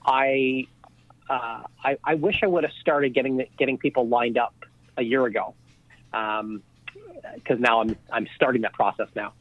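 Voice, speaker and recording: A man's voice: medium pitch, fairly even intonation, normal speed, dry recording. A man guest on a podcast interview, talking casually over the phone with lots of fillers and restarts. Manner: rambling, many fillers and restarts. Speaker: podcast guest, real estate investor. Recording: tinny phone line.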